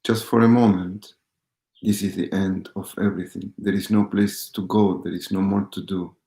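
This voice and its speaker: Male meditation teacher, mellow low voice, gentle repeated phrases, pauses, roomy echo. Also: little pitch movement. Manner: slow, pausing, gentle repetition. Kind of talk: live guided meditation.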